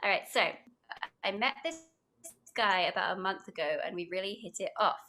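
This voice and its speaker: A woman's voice: medium pitch, animated, moderate pace, bright, forceful tone, a little hiss or hum. A young British woman with a British accent, a podcast guest chatting casually and rambling with giggles. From United Kingdom. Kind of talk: casual podcast chat, reading aloud.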